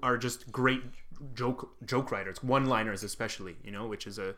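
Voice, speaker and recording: A man's voice: on the low side, normal speed, a bit bright. A man born in Lebanon, a comedian co-hosting a casual chat, rambling and swearing with self-deprecating jokes. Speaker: comedian and podcast co-host.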